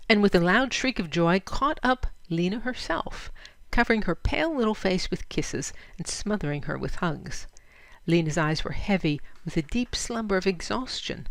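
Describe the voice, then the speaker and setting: A woman reading a short story aloud as expressive, scripted narration. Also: on the low side, no room sound. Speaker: story reader.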